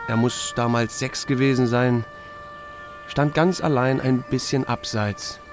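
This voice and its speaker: A man's voice: medium pitch, natural voice. A man playing a laconic small-town sheriff who tells his own story in an audio drama, with a dry, understated manner. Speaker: narrator and lead actor. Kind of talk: first-person audio drama narration with dialogue.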